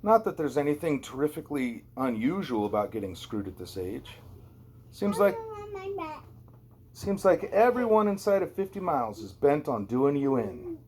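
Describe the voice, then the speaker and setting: A man's voice: mid-range voice, very animated, normal speed, a little mellow, slight background noise. A man narrating a first-person novel as an amateur audiobook reader, in a conversational, wry storytelling way.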